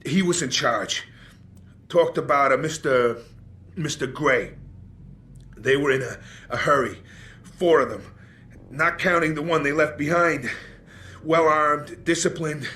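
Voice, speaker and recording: Wounded, bitter investigator, sharp-edged voice. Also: male voice, fairly high pitch. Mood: weakened, urgent. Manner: halting, sardonic, repeating himself. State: in pain, slurring and faltering. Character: gravely wounded, bitter, foul-mouthed older investigator on morphine. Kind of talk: dramatic video game acting.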